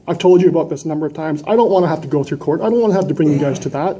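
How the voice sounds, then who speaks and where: A man's voice: a little high, rapid-fire, mellow, a little hiss or hum. A man giving an impassioned, combative public address, emphatic and full of rhetorical questions. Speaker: citizen delegate at a council meeting. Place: large indoor council chamber. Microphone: gooseneck microphone close to mouth.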